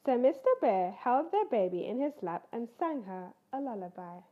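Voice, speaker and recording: A woman reading a children's bedtime story aloud in an expressive, animated way, acting out the characters. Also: a little high, normal speed, phone-quality sound. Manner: animated, acts out character voices.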